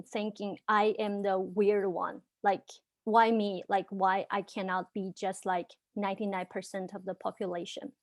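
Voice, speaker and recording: A young woman with a Chinese accent tells her own story, stuttering heavily, with long runs of repeated sounds and many fillers. Her voice sits at an ordinary middle pitch for a woman. The recording is close and dry, with almost no room sound. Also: no echo. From China. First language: Chinese dialect and Mandarin. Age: young adult. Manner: frequent fillers, earnest and candid. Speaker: panelist. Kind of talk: personal story on a video panel.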